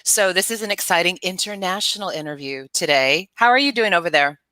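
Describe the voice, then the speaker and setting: A woman's voice: lowish voice, expressive, a little fast, sharp tone, no echo. A middle-aged woman hosting a live online interview show, speaking in an upbeat, chatty way. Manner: upbeat, chatty, often laughing. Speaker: host.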